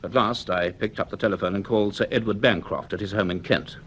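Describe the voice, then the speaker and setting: An actor playing a grave university professor in a radio drama, speaking in a deliberate, earnest, emphatic way with thoughtful pauses. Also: male voice, fairly low pitch, a bit bright, a little hiss or hum, natural voice. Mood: sombre narrating. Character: grave male university professor recounting a mystery. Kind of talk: radio drama acting, part notes, part dialogue.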